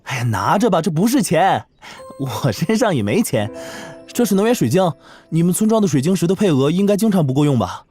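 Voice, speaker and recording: An actor voices a young male wanderer in a fantasy cartoon. He is kind and easygoing, with very lively pitch and soft music behind him. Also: brisk, lightly acted. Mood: cheerful.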